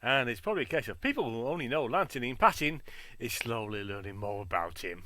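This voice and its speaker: A man with a bright, lively voice hosts a casual chat. His pitch sits in the middle and moves around freely, in a dry recording. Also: a little fast, no echo. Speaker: host. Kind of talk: casual chat, show sign-off.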